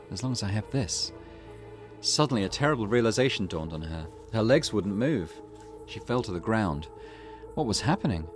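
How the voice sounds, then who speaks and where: Low-voiced, animated man playing games. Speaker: let's play host. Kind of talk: casual game commentary and narration reading.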